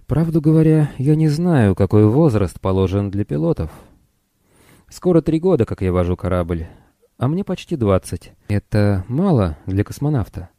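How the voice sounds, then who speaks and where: A man's voice: medium pitch, very animated, normal speed, dark tone, dry recording, studio quality, voice pushed up. A man voicing a frank, curious starship pilot, a woman of almost twenty, earnest and matter-of-fact, in a science fiction audiobook. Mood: calm, earnest.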